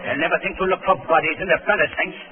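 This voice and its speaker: An actor playing a ruthless gang boss, commanding and menacing, in 1930s radio style. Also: male voice, a little high, steady tone, fast, close to an everyday voice. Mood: cold menace. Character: ruthless foreign villain, angry gang boss barking orders. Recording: noisy, tinny 1930s radio recording.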